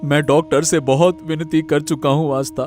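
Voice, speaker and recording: A man's voice: a little high, level tone, moderate pace, darkish tone, faint music behind, lightly acted. A man voicing a devoted, grieving village father in a cartoon moral story, emotional and pleading. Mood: worried, determined.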